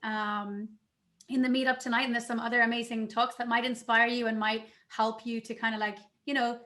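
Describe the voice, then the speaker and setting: A woman speaking casually on a video call panel, an animated storyteller who acts out the lines she quotes. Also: on the high side, fairly quick.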